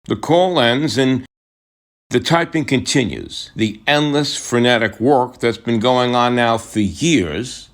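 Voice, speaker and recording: A man's voice: mid-range voice, slow pace. A man narrating a scripted radio drama, setting scenes and introducing characters.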